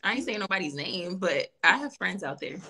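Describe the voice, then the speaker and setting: A woman's voice: on the low side, fairly quick. A woman in her thirties from California, chatting and laughing on a live video call dating show. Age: 30s. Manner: laughing, teasing, lively. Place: indoor room. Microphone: video call, phone or laptop.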